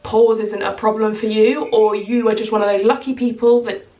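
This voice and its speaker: A woman's voice: medium pitch, flat delivery, moderate pace, warm tone, muffled recording. A woman in her thirties chatting to camera in a casual vlog, sounding slightly nasal from a cold. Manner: chatty, gestures with hands while talking. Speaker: skincare consultant vlogger. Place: small bedroom at home, indoors. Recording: roomy echo, slightly distant mic.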